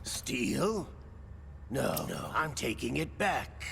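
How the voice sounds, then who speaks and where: An actor playing a sly, theatrical older male villain in a video game, gravelly and sardonic. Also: mid-range voice, very animated, extremely slow, fairly bright tone, slight background noise, exaggerated voice. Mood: smug, mocking. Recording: music behind the dialogue.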